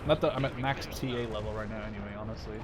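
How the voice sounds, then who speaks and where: A man's voice: fairly low pitch, fairly even intonation, rapid-fire. A man in his twenties giving casual, rambling gameplay commentary, often interrupting himself. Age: 20s. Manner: rambling, self-interrupting, informal with mild swearing. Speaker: gaming video maker. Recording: game battle noise behind voice. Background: artillery, gunfire and vehicle sounds from game.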